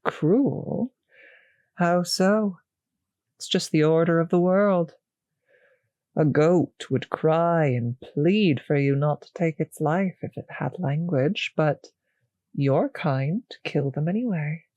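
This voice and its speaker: An actress playing a possessive, condescending vampire mistress in an intimate roleplay audio drama, speaking in a breathy, husky, patronizing, savouring way. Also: female voice, low voice, takes it slowly, mellow, no room sound, dull audio. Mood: haughty, cold.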